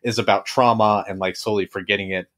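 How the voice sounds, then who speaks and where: A man's voice: fairly low pitch, steady tone, fast talker, fairly bright tone. A man co-hosting a podcast, telling a researched anecdote in casual chat and checking in with "right?". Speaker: podcast co-host.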